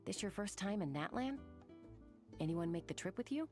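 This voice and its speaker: A woman's voice: mid-range voice, expressive, moderate pace, darkish tone, close to an everyday voice. A woman voicing a cool, laid-back young warrior woman in a video game, laconic and wry. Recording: music behind.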